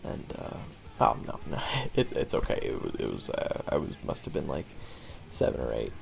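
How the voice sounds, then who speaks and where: A man playing a young monster-hunting swordsman in an audio roleplay, talking casually and haltingly, wry and wounded, with self-deprecating asides. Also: very deep voice, big pitch swings, vocal fry, soft background music, exaggerated voice. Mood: subdued, awkward. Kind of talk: conversational audio roleplay monologue.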